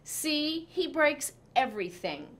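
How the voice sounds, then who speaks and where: A woman reads as a bossy schoolboy from a children's storybook. He is scornful and whiny, a bickering big brother who snaps at his sibling, pitched above plain narration. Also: big pitch swings, a bit bright, full character voice. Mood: angry whining. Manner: scornful, whining, quick to snap.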